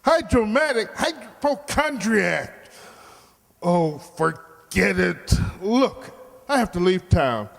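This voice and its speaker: A man's voice: sits high, very animated, slow, vocal fry, fairly bright tone, exaggerated voice. A man playing a brusque, sarcastic, impatient office boss in old-time radio comedy style. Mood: exasperated. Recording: echoey hall, long reverb.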